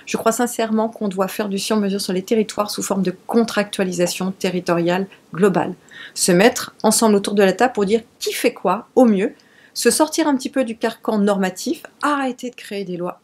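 A woman's voice: mid-range voice, a little mellow. A middle-aged woman, a mayor, giving interview testimony indoors.

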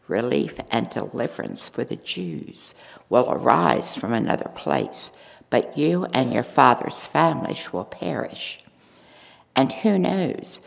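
Queen Esther tells her own story with quiet resolve, as an older woman reads her part from a lectern. The voice sits low and gravelly, unhurried, and the words ring on in a long church reverb. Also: exaggerated voice. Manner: reads steadily from a script, earnest. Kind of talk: first-person dramatic monologue read in church. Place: large church sanctuary. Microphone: stand mic at a lectern.

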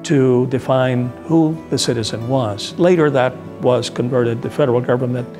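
A man's voice: medium pitch, normal speed. A man giving explanatory expert commentary in a documentary interview. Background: plucked guitar music.

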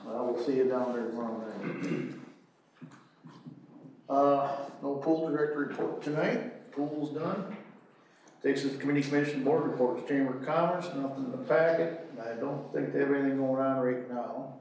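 A man chairing a city council meeting, brisk and joking as he calls on each report. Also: mid-range voice, a little mellow. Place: council chambers, large room. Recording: echoey room, far from the mic, faint hiss.

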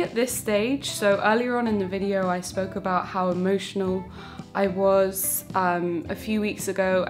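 A young woman in her twenties, talking casually to camera as a vlogger in her small home bakery kitchen, with lots of fillers and restarts. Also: medium pitch. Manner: chatty, warm-hearted, many fillers and restarts. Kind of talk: casual vlog talk to camera.

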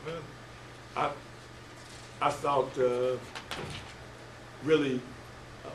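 An older man coaching basketball, answering press questions haltingly with many fillers and restarts. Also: medium pitch, steady tone. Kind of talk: postgame press conference answers. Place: press room at a table before a team backdrop. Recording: echoey room, distant mic, noisy.

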